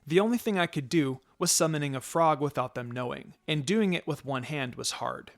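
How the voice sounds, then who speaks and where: A man's voice: fairly high pitch, normal speed, vocal fry. A man reading a first-person fan fiction story as scripted narration.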